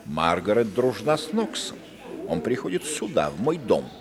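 An actor playing a sly, confiding husband in a radio play. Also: male voice, lowish voice, huge pitch swings, moderate pace, crowd noise. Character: smooth, sly husband with secrets.

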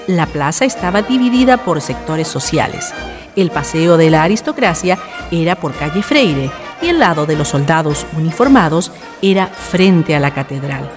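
A woman's voice: deep voice, expressive, normal speed. A woman with a Chilean accent reading scripted narration for a radio drama. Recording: music bed behind narration.

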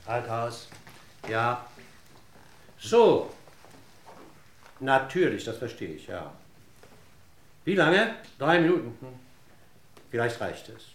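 An actor playing a seasoned police inspector in a radio crime drama, deliberate and insinuating, with ironic courtesy and a gravelly voice. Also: male voice, medium pitch, very animated, heavily acted. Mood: brisk, pointed.

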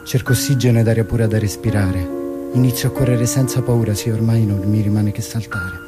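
A man's voice: fairly low pitch, fairly even intonation, a little fast, lightly acted. Actor as an anguished young man over music. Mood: despairing. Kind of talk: poetic dramatic monologue over music.

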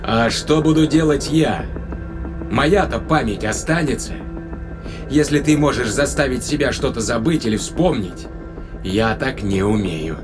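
A man's voice: mid-range voice, animated, unhurried, natural voice. A man playing a skeptical, wary space traveler in an audio drama, dryly sardonic and questioning, with music behind him. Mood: uneasy, sardonic.

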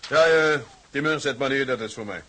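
A man's voice: mid-range voice, a little fast, bright, forceful tone, slight background noise, close to an everyday voice. An actor playing a young seaman in a radio drama, blunt, defiant and challenging. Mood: calm, agreeing.

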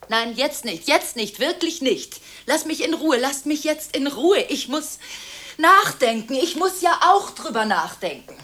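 A woman's voice: sits high, big pitch swings, unhurried, full character voice. An actress playing an agitated, contradictory woman in a German radio drama, talking impulsively through one side of nonstop phone calls. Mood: weary, evasive. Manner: breathless, impulsive, swinging between protest and hushed brooding.

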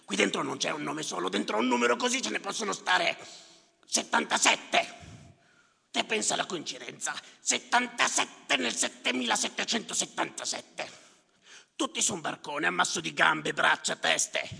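An actor playing a bitter, cynical clerk who grumbles and declaims to himself in a raspy voice, in a theatrical monologue. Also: male voice, high-pitched, animated, moderate pace, sharp tone, exaggerated voice. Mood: brooding, scornful. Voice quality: raspy, gravelly. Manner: muttering to himself, sardonic, declamatory, repeating words obsessively. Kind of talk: theatrical stage monologue with live music. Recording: reverberant hall, music behind voice. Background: music.

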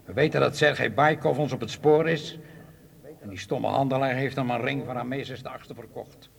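A man's voice: mid-range voice, normal speed, vocal fry, natural voice. A man playing a commanding, disdainful smuggling boss in a radio drama, speaking in a curt, dry, superior way.

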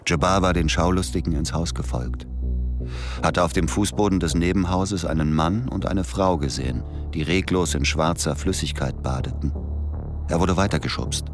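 An actor playing a male American soldier narrating in a measured, matter-of-fact way in a radio drama. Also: sits low, over music, close to an everyday voice. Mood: grave. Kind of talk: scripted first-person narration in a radio play.

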